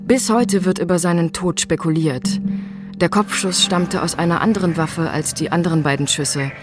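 A female narrator reads a scripted documentary. Her voice sits at a middle pitch, and music plays clearly behind it. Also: moderate pace.

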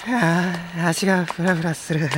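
A woman voicing an excitable, timid, lovestruck young boy in an anime audio drama, with a boyish voice and a melodramatic delivery. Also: on the low side, a little slow, heavy background noise, lightly acted. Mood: nervous. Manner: melodramatic, swinging between chatter, wails and sighs. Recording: music playing loudly behind.

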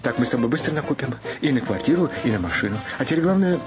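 An actor playing an eccentric, excitable artist husband with a halting, fussy way of talking in an audio play. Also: male voice, mid-range voice, close to an everyday voice. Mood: elated. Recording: music under the voice. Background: music.

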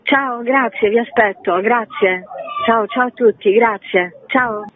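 A woman's voice: a little high, slow, over music. A woman theatre author and actress giving a conversational interview over a narrow phone line, explaining her work reflectively. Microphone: telephone.

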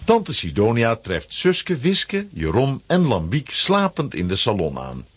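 A man with a husky voice narrating a children's audio play as a dramatic scripted story. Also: medium pitch, wildly swooping pitch, unhurried.

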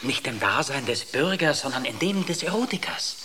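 An actor in a German radio drama playing a fervent artist who lectures in an intense, declamatory way. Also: male voice, mid-range voice, expressive, normal speed, natural voice. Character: fervent male artist lecturing on his drawing. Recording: clearly noisy ambience behind the voice.

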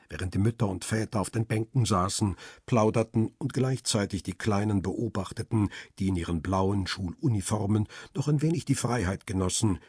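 A middle-aged family man narrates a radio crime drama in a low, very raspy voice. His storytelling is measured and reflective, recorded dry and close. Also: no echo. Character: middle-aged male paparazzo, devoted husband and father, reflective. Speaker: narrator and lead actor.

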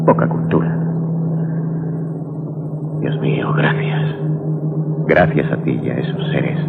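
An actor with a Castilian Spanish accent playing an anxious, awestruck father in a dramatic, excitable 1980s radio drama style. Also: a bass voice, vocal fry, heavily acted. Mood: grateful, subdued. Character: anxious, awestruck father stranded with his family. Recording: tinny, noisy 1980s radio recording.

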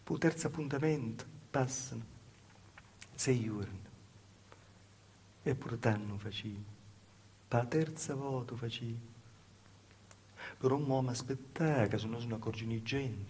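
A man with a Calabrian accent playing an anxious, wronged young village woman in a halting, repetitive theatre monologue. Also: mid-range voice, slight background noise, natural voice. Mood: anxious, plaintive. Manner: halting, repetitive, dropping to hushed asides. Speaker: stage actor performing a monologue. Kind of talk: dialect theatre monologue, storytelling.

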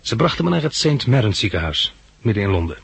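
A man's voice: on the low side, big pitch swings, fast talker, vocal fry, fairly bright tone, slightly rough, dry recording, heavily acted. An actor in a 1960s radio drama playing a dry, sardonic man who narrates and speaks as a patient. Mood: reflective narration. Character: bandaged male hospital patient and narrator, wry, sardonic.

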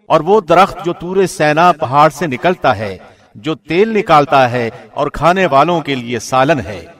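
A man reading a scripture translation in a formal, measured and solemn radio presenter's style. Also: a little high, expressive, a bit bright. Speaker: translation reader, radio host.

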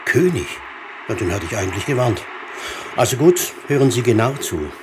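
An imperious government minister, medium in pitch and brisk. He comes over a radio link with faint noise, in a radio drama. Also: very animated. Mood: demanding. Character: stern, demanding male government minister. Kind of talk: modern German radio drama acting.

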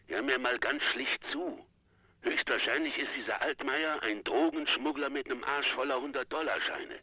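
Blunt urgent guy on the phone, radio drama, raspy and piercing. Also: medium pitch, moderate pace, bright, forceful tone. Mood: urgent, warning. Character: Lennart, blunt, urgent male associate on telephone. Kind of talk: German radio drama acting.

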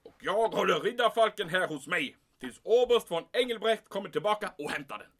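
A man acting out an anxious commandant in a radio-style serial, blustering and guarded about his secret. His voice sits high and swings widely, with a bright, forceful edge. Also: lively intonation. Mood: grave, secretive. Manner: emphatic, peppering speech with German exclamations. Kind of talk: audio drama acting.

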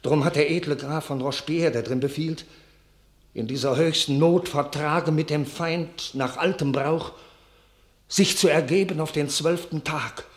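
A man's voice: a little high, a little slow, close to an everyday voice. An actor playing a town councilman from a besieged city, imploring the king in a classic German radio play. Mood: pleading. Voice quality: imploring, solemn.

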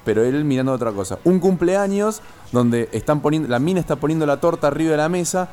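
A man's voice: fairly high pitch, lively intonation, quick pace, a little mellow, soft background music. A young man with an Argentine accent chatting casually as a co-host on a radio show. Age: 20s.

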